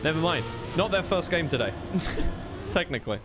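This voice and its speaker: A man's voice: fairly high pitch, lively intonation, moderate pace, noisy background. A man commentating live on an esports racing match, casually rambling and correcting himself between bursts of play-by-play. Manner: rambling, self-correcting asides.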